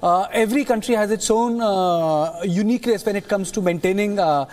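A man in his thirties anchoring a studio news bulletin, reading the news in a measured way and then questioning a colleague with some hesitant fillers. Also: high voice, darkish tone.

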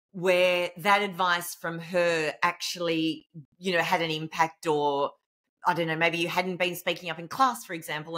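A middle-aged woman hosting an interview over a video call, with a loud voice and an animated, chatty way of talking. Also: on the low side. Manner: animated, talks with her hands, laughs easily. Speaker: podcast host. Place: indoor home room. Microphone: video call webcam.

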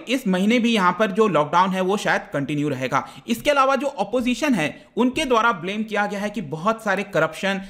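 A man's voice: high-pitched, bright, forceful tone. A young man explaining news to exam students over slides, speaking directly to viewers and mixing in English terms. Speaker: current affairs teacher.

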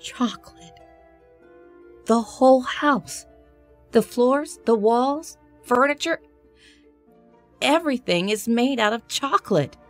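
An actress playing a practical, level-headed social worker in an audio drama, polite and matter-of-fact. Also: female voice, on the high side, animated, slow, pauses often, soft background music. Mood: stunned.

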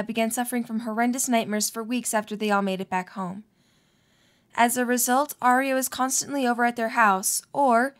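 Female narrator for a fan fiction audio drama, higher register, reading a scripted story.

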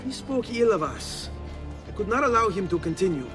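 A cocky young nobleman from a historical video game, speaking with an Italian accent. His voice sits fairly high for a man and moves with lively, hot-headed swings. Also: brisk, over music. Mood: casual, cocky. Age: young adult. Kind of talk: dramatic video game acting.

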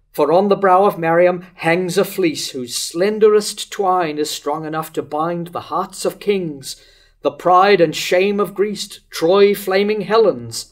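A man's voice: fairly high pitch, unhurried, natural voice. An older man playing a wavering, lovesick king in a declamatory staged reading of verse tragedy. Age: older, about 60s to 70s. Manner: declamatory, rhetorical questioning. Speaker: actor reading Herod in a staged play reading. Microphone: stand microphone at a lectern.

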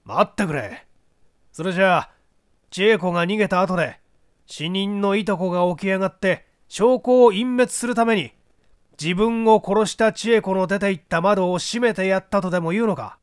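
A man's voice: sits high, normal speed, no echo, clean studio sound, pitched above the performer's own voice, natural voice. Man playing a blunt, scoffing police detective. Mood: incredulous, scoffing. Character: skeptical, scoffing senior police detective, male. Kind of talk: literary audiobook character dialogue.

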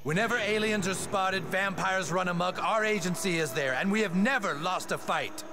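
A man playing an ominous, self-important older government agent delivering dramatic exposition in an animated video game. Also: high-pitched, moderate pace. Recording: game audio with music behind.

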